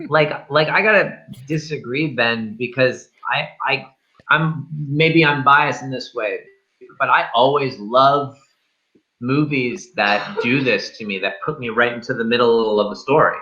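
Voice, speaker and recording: A man's voice: medium pitch, sharp tone. A man in a casual movie discussion, thinking aloud with hesitations, on a somewhat muffled recording. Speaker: film review show panelist. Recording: slightly dull, limited-band sound.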